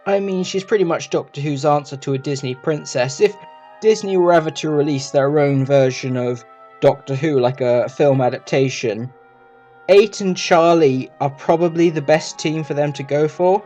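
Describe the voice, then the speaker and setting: A man's voice: a little high, normal speed, warm tone, dull audio, faint music behind. A man giving a casual review commentary as a video reviewer.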